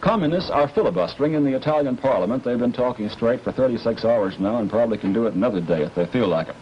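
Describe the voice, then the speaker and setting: A man's voice: mid-range voice, animated, fast. A man giving informal news commentary with conversational asides, as if talking viewers through film footage. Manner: conversational asides while reporting. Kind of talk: informal live newsreel commentary. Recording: dull vintage broadcast with faint noise.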